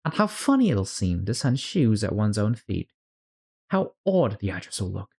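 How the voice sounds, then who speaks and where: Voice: medium pitch, very animated, moderate pace, darkish tone, husky. A storyteller voicing a dramatic, bewildered young girl who frets and scolds herself in a storybook. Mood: fretful, musing. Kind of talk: storybook character read-aloud.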